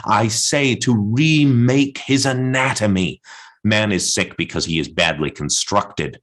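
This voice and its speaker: A man playing a defiant, obsessive poet-prophet, declaiming a blasphemous radio-play monologue with solemn, deliberate insistence. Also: deep voice, a bit bright, exaggerated voice. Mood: urgent, insistent. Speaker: actor in an adapted radio play.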